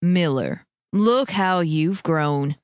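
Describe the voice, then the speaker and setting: A grounded, unbending husband, voiced by a woman reading aloud. His lines come lower and slower, calm and firm, in a dry, close recording. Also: clean tone, no echo. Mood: warm. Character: firm, steady husband, warm but unbending.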